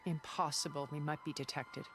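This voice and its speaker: Deep voiced woman schemer in a game cutscene, cool n precise, bit sardonic, big score playing loud. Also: fairly even intonation. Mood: grave. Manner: measured, formal, articulate, faintly sardonic. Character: composed, calculating woman, coolly pragmatic schemer. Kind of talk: video game cutscene acting. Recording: loud music behind.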